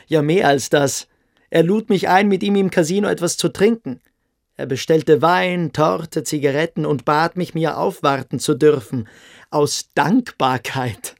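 An actor playing a smug, quietly cruel young boarding-school pupil, telling his story theatrically in a radio play. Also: on the high side, measured pace, creaky, no echo, clean studio sound. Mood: amused. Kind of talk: dramatic first-person storytelling in a radio play.

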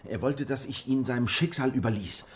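An actor playing a weary, distrustful survivor of a crushed peasant revolt in a German radio drama, brooding and bitter. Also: male voice, medium pitch, fairly quick, natural voice. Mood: agitated, distrustful. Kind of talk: German radio drama dialogue and first-person narration. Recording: music behind the voice.

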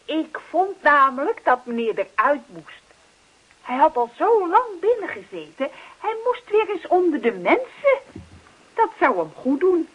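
A woman's voice: sits high, sing-song, unhurried, lo-fi sound. A woman playing a sweetly insistent wife in a radio play, coaxing and mildly scolding. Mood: solicitous. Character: sweetly insistent wife prodding her husband.

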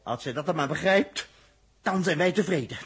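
An actor playing a defiant astronaut in a 1960s radio drama, urgent and bossy, prone to angry outbursts. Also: male voice, on the high side, animated, normal speed, husky. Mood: tense, hushed. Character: defiant male astronaut, Dirk.

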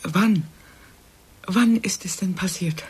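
A composed, middle-aged professional woman in a naturalistic radio play. Her voice sits a little low and is strikingly husky and breathy. She speaks with restraint, halting and close to whispering. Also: a little mellow, heavily acted. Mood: stunned, whispering. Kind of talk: German radio drama acting, naturalistic dialogue.

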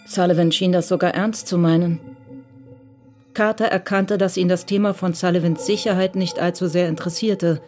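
A woman's voice: lowish voice, steady tone, normal speed, dark tone, soft background music, close to an everyday voice. A woman narrating a crime radio drama as a composed, detached storyteller. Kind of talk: scripted literary narration in a radio play.

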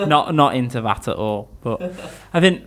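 A man's voice: medium pitch, lively intonation, moderate pace, a bit bright, a little hiss or hum. A young man from England, an English teacher, chatting casually in an interview, laughing easily and restarting his sentences.

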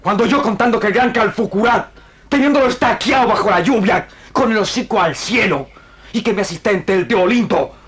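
An actor playing a proud, cunning chief in an old radio drama, bragging in broken, stylised grammar. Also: male voice, high-pitched, normal speed, a bit bright. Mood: boastful.

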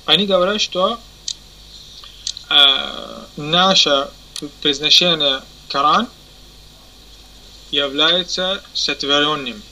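A man teaching a religious lesson. He pauses often, corrects himself, and keeps asking if his listeners understand. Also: a little high, sharp tone, a little hiss or hum, rough recording. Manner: halting, many fillers and self-corrections. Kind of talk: explanatory lesson.

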